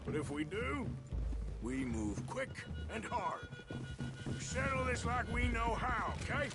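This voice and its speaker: An actor playing a weary, commanding American outlaw gunslinger in a video game, with a gruff, dry drawl. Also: male voice, very high-pitched, expressive, measured pace, exaggerated voice. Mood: firm, commanding. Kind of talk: video game drama acting. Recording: loud music and horse hooves behind.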